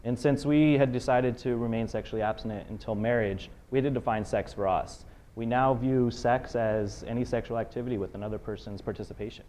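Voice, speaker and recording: Mellow-voiced man in his late twenties. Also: mid-range voice, fairly even intonation, a little fast, a little hiss or hum. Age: late 20s. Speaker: interviewee, professor. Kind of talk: candid interview answers.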